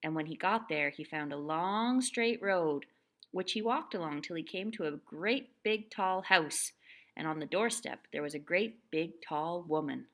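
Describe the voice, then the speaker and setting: A woman's voice: deep voice, animated, a little slow, fairly bright tone. A woman narrating a scripted children's story as the storyteller of a puppet show.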